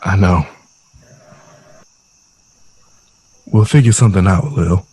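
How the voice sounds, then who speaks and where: A man's voice: low-pitched, fairly quick, dark tone, a little hiss or hum, natural voice. A man acting a tired, hard-drinking, short-tempered mill worker and father in an audio drama. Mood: tired, defensive.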